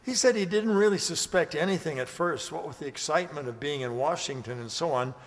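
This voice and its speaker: An actor playing a storyteller narrating a friend's adventure in a scripted radio play. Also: male voice, a little high, brisk, natural voice. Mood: recounting. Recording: hall reverb, faint noise.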